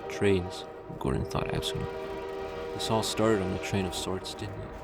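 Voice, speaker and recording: A man with a low voice narrates an audio drama in a literary, scripted style. There is noticeable fry in his voice. Loud music plays underneath throughout. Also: flat delivery, normal speed.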